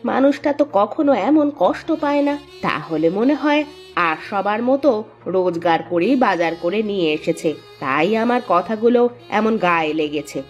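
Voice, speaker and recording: A woman voicing a suspicious village wife with a soft heart in a cartoon, in a dramatic manner. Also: mid-range voice, lively intonation, moderate pace, faint music behind, natural voice. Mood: regretful, caring. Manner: sharp-tongued, questioning, dramatic. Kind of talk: animated cartoon voice acting in Bengali moral story.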